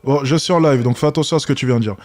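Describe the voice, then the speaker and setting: A man's voice: medium pitch, racing, darkish tone. A man hosting a live stream, reacting with hesitant, wry commentary full of restarts.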